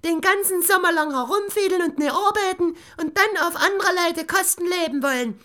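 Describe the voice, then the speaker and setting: Man doing a shrill smug little mouse. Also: normal speed, pushed and bright. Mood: scolding. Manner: high squeaky falsetto, scolding and sing-song. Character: shrill fussy female mouse, hoarding and smug.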